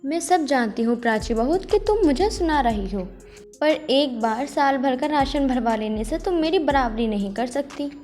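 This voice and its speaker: A woman as a high-voiced spiteful older village aunt. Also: animated, normal speed, a little mellow. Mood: mocking. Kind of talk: Hindi moral-story cartoon dubbing. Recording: music bed behind the voice.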